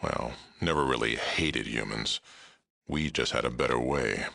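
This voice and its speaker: An aging mutant sheriff from a video game, speaks in an extraordinarily deep register. The voice is thick with gravel and has a hard, forceful edge. He is blunt and dry, a world-weary lawman who keeps things fair. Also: a little slow, heavily acted. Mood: reflective, world-weary. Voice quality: gravelly, rumbling. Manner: blunt, terse, dry delivery. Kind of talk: video game character dialogue.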